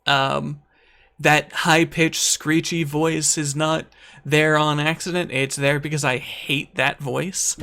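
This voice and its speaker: An American man with a fairly high voice that has a gravelly, crackly edge. He talks as a guest in an easygoing chat. He is thoughtful and pokes fun at himself. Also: level tone, takes it slowly, pushed and bright. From United States. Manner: thoughtful, self-deprecating. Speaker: guest YouTuber. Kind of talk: casual conversation.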